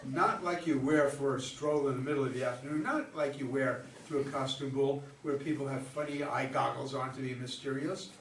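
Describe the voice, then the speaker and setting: A man's voice: medium pitch, fairly even intonation, a little fast, hardly any pauses, close to an everyday voice. A man in a staged play reading, acting a pushy, teasing, talkative flirt who needles a stranger. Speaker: amateur play reader. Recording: distant roomy recording with faint noise.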